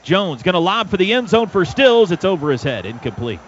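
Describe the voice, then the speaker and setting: Male American radio play-by-play caller, higher voice, clipped football calls, shouts on big plays, dull sound over loud stadium noise. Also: very animated, a little fast. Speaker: play-by-play announcer.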